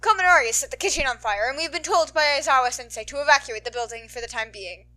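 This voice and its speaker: Earnest, rule-abiding teen boy performed by a woman, story character, raised pitch, forceful, formal and polite. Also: fast talker. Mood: urgent, apologetic. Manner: loud, urgent, polite and formal.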